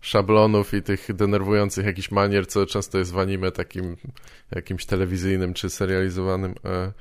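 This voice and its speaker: Podcast host: man, lowish clean voice, informal and tentative, dry recording. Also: completely flat delivery, smooth, no room sound. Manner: halting, tentative phrasing. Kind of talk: casual conversation.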